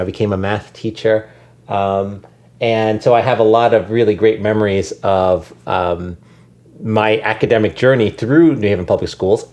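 A man's voice: on the low side, a little mellow. A man being interviewed, speaking thoughtfully with frequent ums and restarts. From United States. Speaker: guest, education nonprofit leader. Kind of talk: conversational interview.

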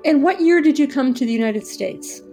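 A woman hosting a program, reading scripted narration and asking interview questions in a steady, deliberate way. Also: high-pitched, faint music behind. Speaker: radio host and interviewer.

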